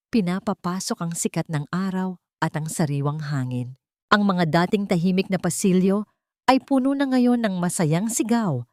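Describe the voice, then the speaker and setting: Low-voiced woman narrating, very dry sound. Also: no room sound. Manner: deliberate, sentimental storytelling with dramatic pauses. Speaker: story narrator. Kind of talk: scripted dramatic romance narration.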